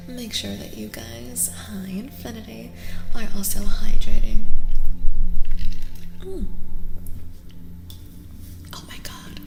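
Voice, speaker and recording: A woman speaking softly and gently in a casual ASMR livestream style, often slipping toward a whisper as she chats with viewers. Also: medium pitch, big pitch swings, slow pace, creaky, long pauses. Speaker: VTuber streamer doing tarot readings. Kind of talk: soft-spoken ASMR, casual live chat with viewers. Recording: echoey with long reverb, music behind. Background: music.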